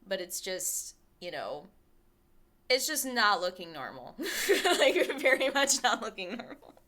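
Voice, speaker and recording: A woman streamer chatting candidly with viewers, swearing freely and laughing often, with a small light voice that carries little bass. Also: very animated, unhurried, pushed and bright. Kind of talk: casual chat with stream viewers.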